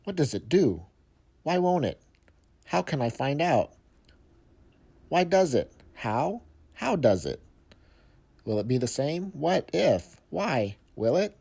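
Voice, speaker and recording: A man's voice: on the high side, big pitch swings, slow, gravelly, long pauses, mellow, slightly rough, pitched above the performer's own voice, full character voice. A man voicing a curious little girl from a storybook, asking eager, emphatic questions. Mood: eager, puzzled.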